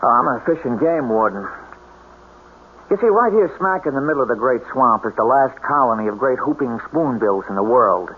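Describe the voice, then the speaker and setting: An actor playing a bitter, self-mocking reclusive game warden in a radio drama, wry and halting. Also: male voice, medium pitch, animated, narrow, tinny audio, lightly acted. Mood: bitterly wry. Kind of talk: 1970s radio drama acting. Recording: thin, slightly noisy old radio recording.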